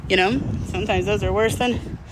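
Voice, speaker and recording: A woman's voice: mid-range voice, fairly even intonation, fairly quick, noisy background. A middle-aged woman talking casually and unscripted to her viewers, candid and slangy, rambling and drifting mid-thought. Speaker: vlog host.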